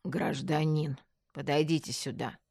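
A woman voicing a weary, gruff male policeman with a curt, official manner in an audiobook. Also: low voice, steady tone, measured pace, darkish tone, dry recording, studio quality, pitched below the performer's own voice. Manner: curt, matter-of-fact, barking when annoyed.

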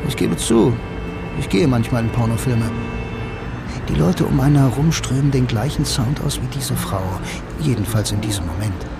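A man's voice: mid-range voice, animated, moderate pace, gravelly, loud music behind the voice. An actor playing a blind bank clerk who narrates his own story in a dry, laconic, musing way for a radio drama. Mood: wry, musing. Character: blind male bank clerk, wry film noir loner.

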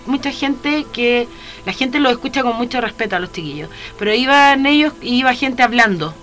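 A woman's voice: a little high, bright, forceful tone. Chatty Chilean woman radio host. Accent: Chilean Spanish. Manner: hesitant, many fillers and restarts. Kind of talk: casual interview chat.

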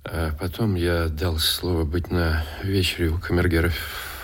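An actor playing an ardent, genteel gentleman suitor in a radio drama, with hushed, florid delivery. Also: deep voice, flat delivery, unhurried, breathy edge. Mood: awkward, polite. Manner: impassioned, hushed, florid declamation. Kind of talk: theatrical Russian radio drama acting.

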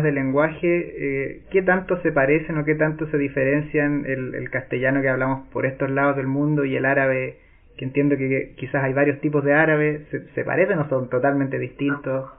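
A man's voice: mid-range voice, flat delivery, moderate pace, creaky. A Chilean man hosting a radio interview, asking long, polite questions padded with fillers. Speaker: radio host. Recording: tinny phone-like radio sound.

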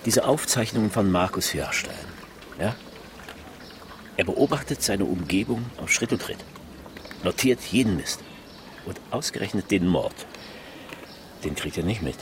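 A man's voice: low-pitched, animated, measured pace, pauses often, background noise. A man playing a persistent police detective in a German radio crime drama, reasoning and questioning insistently. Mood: thoughtful.

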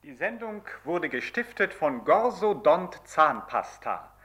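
Slow, singsong sponsor announcer. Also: female voice, deep voice, big pitch swings, fairly bright tone, full character voice. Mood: syrupy jingle. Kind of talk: 1950s radio advertisement parody.

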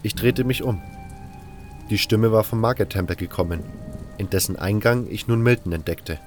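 A man's voice: lowish voice, normal speed, darkish tone, soft background music. A man reading scripted first-person narration for a fantasy story.

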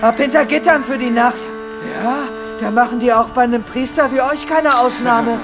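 A very high-pitched cheeky young lad. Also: male voice, normal speed, over music. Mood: mock-warning. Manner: parroting, gleefully mocking. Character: cheeky young country lad, echoing sidekick. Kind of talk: German radio drama acting.

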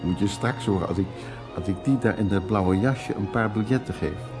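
A man's voice: fairly low pitch, moderate pace, music in the background, natural voice. An actor playing an evasive, hard-drinking man in a radio drama, telling his stories in a rambling, teasing way. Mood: playful, tipsy. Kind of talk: Dutch radio drama acting.

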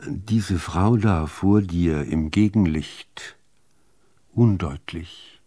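A man reciting literary poetry in a measured, deliberate manner with dramatic pauses between phrases. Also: low voice, mellow, husky. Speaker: reader of poetry.

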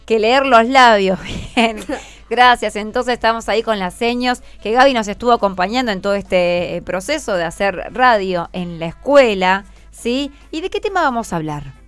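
A woman's voice: a little high, expressive, moderate pace, clean tone, soft background music. A woman with a Buenos Aires Argentine accent hosting a casual radio interview with schoolchildren, prompting and reassuring them. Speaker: radio host. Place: radio studio. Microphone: studio microphone.

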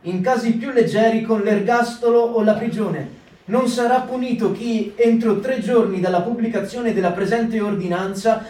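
A man's voice: high voice, a little mellow, natural voice. A man reading as a stern voice of authority. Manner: stern official proclamation. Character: stern adult male voice of occupying authority. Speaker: reader of wartime decrees. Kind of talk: stage reading for radio. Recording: roomy, distant mic, music behind.

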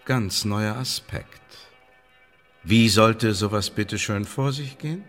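A man's voice: on the low side, slow pace, fairly bright tone. A man acting in a radio play as a sardonic, calculating English spymaster with a dry, pointed, officious delivery. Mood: skeptical. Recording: long hall reverb, music behind.

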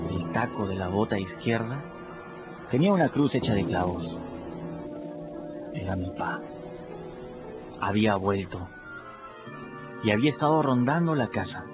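Animated, candid boy storyteller. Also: mid-range voice. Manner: conversational, confiding, addresses listeners directly. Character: scrappy, candid runaway boy telling his own adventures. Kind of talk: first-person radio drama narration. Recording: dull lo-fi radio drama with music.

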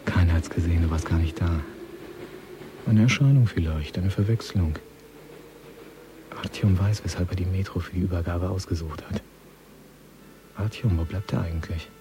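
An actor playing a tense, cynical gangster in a radio drama monologue, muttering in short clipped phrases that often sink toward a whisper. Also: male voice, sits low, normal speed, pauses often, background noise, lightly acted. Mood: cynical, watchful. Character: tense, cynical male Moscow gangster watching a handover. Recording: studio radio play with prominent music. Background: music.

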